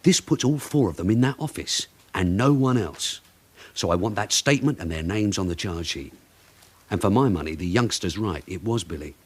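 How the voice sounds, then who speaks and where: British police sergeant, deep voice, swooping intonation, blunt, shrewd, sardonic, radio drama. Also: male voice, lively intonation, breathy edge. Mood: brisk, decisive. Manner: brisk, commanding, sardonic. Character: blunt, shrewd male police detective sergeant running interrogations. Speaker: actor in a radio detective drama.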